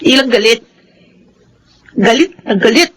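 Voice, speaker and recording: An actress playing a bitter, neglected young daughter in a Filipino melodrama, accusing and tearful. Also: female voice, a little high, lively intonation, a little slow, fairly bright tone. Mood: resentful.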